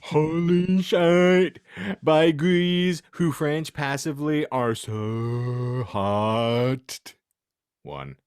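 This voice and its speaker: A man's voice: on the high side, very slow, a little mellow, smooth, no echo, clean studio sound. A man hosting a podcast, reading fan fiction theatrically for comedy and exaggerating oddly spelled words. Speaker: podcast host and narrator.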